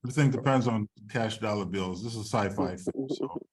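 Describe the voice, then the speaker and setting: A man with a fairly low voice talks as a screenwriter and filmmaker. He gives informal, conversational answers in an online question-and-answer session. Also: a little fast, husky. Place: online video call.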